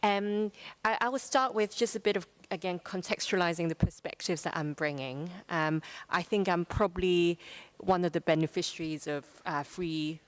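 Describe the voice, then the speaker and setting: Young female panelist from Hong Kong, lowish pitch, informal, measured and hesitant delivery. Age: young adult. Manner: hesitant, measured, frequent pauses. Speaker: youth panelist. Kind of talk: informal panel discussion remarks.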